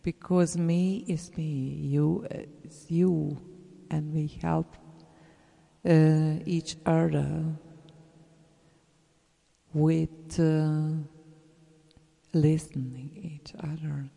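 A speaker with a German accent, a native German speaker, giving an earnest, encouraging message to fellow artists, halting and searching for words with many fillers, and trailing into soft whispers. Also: medium pitch, flat delivery, gravelly. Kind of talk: casual spoken message to listeners. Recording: roomy with long reverb.

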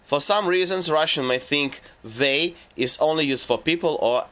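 A man giving scripted narration for a language lesson, laying out rules and lists in a measured, deliberate way. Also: fairly high pitch, a bit bright. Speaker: language teacher.

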